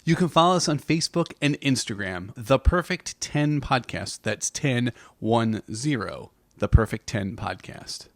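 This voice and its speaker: A man with a noticeably creaky, gravelly edge to his voice hosts a podcast. He talks in a casual, chatty style at an ordinary male pitch. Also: animated, measured pace, hardly any pauses. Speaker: podcast host.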